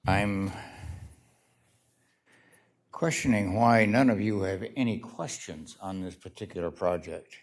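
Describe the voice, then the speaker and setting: Man, low voice, very slow, gravelly, halting and pointed, public comment at a podium. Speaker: resident giving public comment. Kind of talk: public comment at a city meeting. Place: city council chamber.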